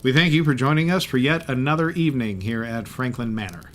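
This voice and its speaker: Mid-pitched male podcast co-host. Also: quick pace, fairly bright tone. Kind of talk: scripted, in-character banter.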